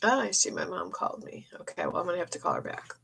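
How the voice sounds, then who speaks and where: A middle-aged woman with a cold, losing her voice, chatting in a meandering way on a live stream and often clearing her throat. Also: fairly low pitch, huge pitch swings, fast, fairly bright tone, a little hiss or hum. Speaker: psychic medium streaming live. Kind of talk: rambling live-stream chat. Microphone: phone or webcam for a live stream.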